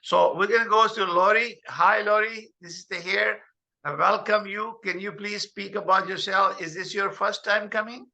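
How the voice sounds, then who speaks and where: Gravelly bright-voiced older man hosting a call. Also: high voice, fairly even intonation. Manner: halting, many fillers. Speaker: host. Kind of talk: video call meeting host. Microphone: video call.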